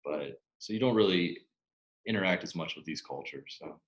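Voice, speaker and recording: A man's voice: low-pitched, moderate pace. A man presenting in a casual panel talk, hesitant and often repeating words.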